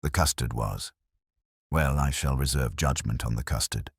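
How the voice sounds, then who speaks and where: A man voicing a mild, wry, thoughtful police inspector in an audio mystery, measured, formal and dryly understated. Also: very deep voice, slightly rough, no echo, studio quality, heavily acted.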